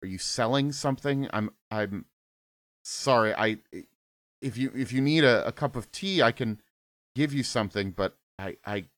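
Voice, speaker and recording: A man's voice: mid-range voice, normal speed, a bit bright, close to an everyday voice. A man voicing a mousy, nervous woman in her late thirties who stammers and apologizes. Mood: confused.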